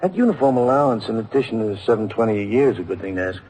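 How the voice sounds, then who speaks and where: A man's voice: fairly low pitch, very fast, a little mellow, lightly acted. An actor playing a bitter, grumbling policeman and family man with an American accent in a vintage radio drama. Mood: wry. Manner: grumbling, sardonic. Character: bitter, weary policeman, a married man with kids. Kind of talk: 1950s radio drama acting. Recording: noisy vintage radio recording.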